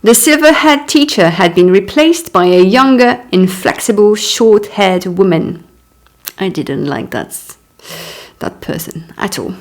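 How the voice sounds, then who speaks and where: French-native woman in her 30s reading her own book, slow and easy, chatting in between. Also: mid-range voice, animated. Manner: unhurried reading broken by chatty asides and little laughs. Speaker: author. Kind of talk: reading her own memoir aloud with comments.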